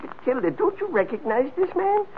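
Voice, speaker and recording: A man's voice: very high voice, very animated, a little fast. A man acting a timid, henpecked elderly husband with a meek, wavering manner in a 1940s radio drama. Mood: excited. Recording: tinny, noisy 1940s radio recording.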